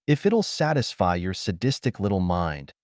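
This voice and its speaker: An indignant, easily shocked man from a pulp science fiction audiobook, acted out by a male narrator. He is incredulous and emphatic, low-voiced with big swings in pitch. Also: very animated, moderate pace, darkish tone, dry recording, studio quality.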